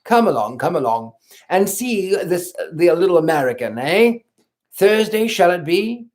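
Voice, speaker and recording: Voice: mid-range voice, very animated, unhurried, darkish tone, pitched above the performer's own voice. A reader voicing a jovial old earl who laughs heartily and teases in a storybook reading. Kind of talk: audiobook reading.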